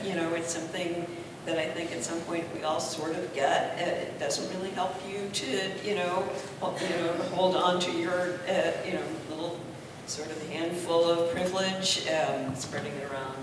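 Woman in her fifties, panelist, low voice, many fillers, echoey distant hall. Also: noisy background. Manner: thoughtful, hesitant with many fillers. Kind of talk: panel discussion. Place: large brick-walled gallery hall. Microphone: handheld mic.

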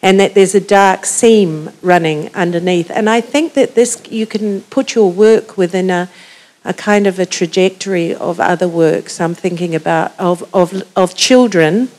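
A woman's voice: fairly low pitch, a little slow, darkish tone. A woman with a New Zealand accent, making a long musing comment from the audience with many ums. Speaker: audience member.

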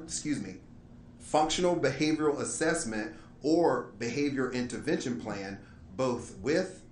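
A man giving a formal, measured presentation as a school administrator, reading carefully from prepared text. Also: medium pitch. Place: indoor meeting room, public board meeting. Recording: roomy echo, light background noise.

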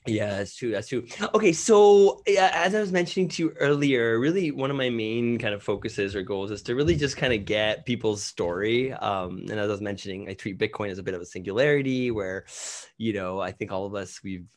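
A man's voice: fairly low pitch, a little fast. A man from Canada hosting a casual interview from a home studio, asking curious questions in a chatty way with lots of fillers. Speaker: podcast host. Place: home studio with green lighting. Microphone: headset with studio mic.

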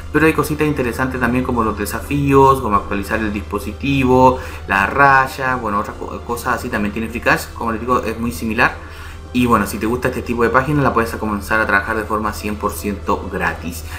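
A man's voice: mid-range voice, brisk, pushed and bright. A man hosting his channel, casually explaining a website step by step and checking in with his viewers as he goes. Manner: explains step by step, often asking "¿ya?" and "¿vale?". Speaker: YouTube channel host. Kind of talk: casual screen-recorded tutorial.

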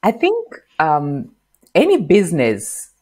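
A middle-aged woman from Kenya, a podcast guest, explaining marketing in an animated interview on a lapel mic in a home office. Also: sits low, slow, husky. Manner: animated, gestures while explaining. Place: bright home office with bookshelf.

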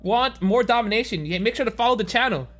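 A man who is a native Cantonese speaker, streaming a game with excitable, slangy, teasing banter. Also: very high voice, quick pace, faint music behind. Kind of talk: live gaming commentary, casual banter. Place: indoor streaming room, seen on facecam.

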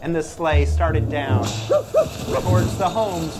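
Man, theatrical children's storyteller, very lively pitch, unhurried, prominent music. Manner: expressive, theatrical. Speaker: storyteller, app sound designer. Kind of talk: animated children's read-aloud. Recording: music behind the voice. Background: music and sound effects from a story app.

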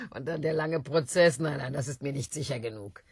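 A desperate, taunting woman in a radio play. Her voice is very husky and gravelly, with wide swings of pitch. She pushes insistently, sinking to a whisper and breaking into cries. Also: lively intonation, unhurried, no echo, exaggerated voice. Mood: weary, wry. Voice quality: husky, breathy. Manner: insistent, drifting between whispers and outcries. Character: self-loathing, pleading, taunting woman begging to be killed.

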